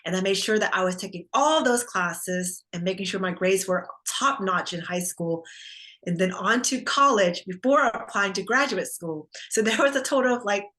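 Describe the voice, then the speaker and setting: A woman's voice: mid-range voice, animated, normal speed, sharp tone. A woman whose first language is Vietnamese, speaking earnestly as an optometrist guest in an interview. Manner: earnest, determined, tells personal story. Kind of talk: conversational interview answers.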